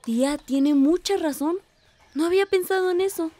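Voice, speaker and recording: Woman as eager high-voiced teenage niece. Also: normal speed, over music. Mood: curious. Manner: eager, questioning. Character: curious, admiring, outspoken teenage village niece. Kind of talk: radio drama dialogue.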